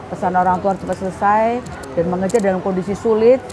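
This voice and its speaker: A woman host chatting eagerly, quick to affirm and repeat her guest's points. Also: mid-range voice, fairly even intonation, background noise. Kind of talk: casual chat.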